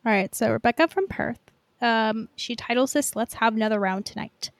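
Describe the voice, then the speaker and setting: A woman's voice: fairly high pitch, moderate pace, vocal fry, dry recording. A woman chatting casually as a podcast co-host, in informal speech peppered with "like". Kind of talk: casual fan podcast chat.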